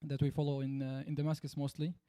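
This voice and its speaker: A man from Syria, who chants devotional songs, giving a measured and polite introduction at a live event. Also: a little high, little pitch movement, dark tone, clear, resonant tone, dry recording, clean studio sound. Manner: measured, polite, with short pauses.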